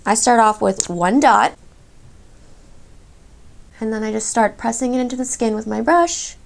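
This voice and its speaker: A chatty woman in her thirties reviews makeup as she applies it, gushing over each product. Her voice sits a little high and breaks into a gravelly fry, and she sounds slightly congested, getting over a cold. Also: a little slow. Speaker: beauty vlogger. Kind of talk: makeup tutorial.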